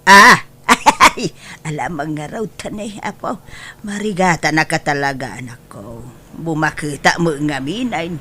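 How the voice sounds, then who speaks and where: A woman's voice: sits low, a little slow, sharp tone, a little hiss or hum. A woman playing a weary, complaining older mother and aunt in a comedy drama, full of sighing exclamations. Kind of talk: Ilocano comedy drama acting.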